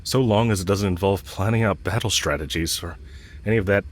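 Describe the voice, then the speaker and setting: A man performs a smitten villain with a low voice, speaking straight to the listener as in an intimate audio drama. He is cocky and casual, teasing as he goes, and blunt about his affection. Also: brisk. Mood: fond, flirtatious. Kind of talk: romantic audio roleplay, spoken intimately to the listener.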